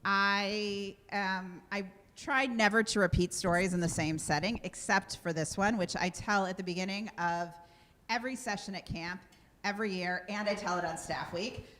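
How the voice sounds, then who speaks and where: A woman rabbi telling a story to her congregation in an animated, conversational way. Her voice is bright and sharp at a middle pitch. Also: moderate pace. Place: synagogue sanctuary.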